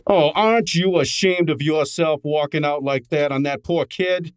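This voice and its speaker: A man's voice: on the high side, normal speed, clean tone, lightly acted. A man playing a brash, cheeky detective's assistant who also narrates, in an informal, wisecracking old time radio drama style. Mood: indignant.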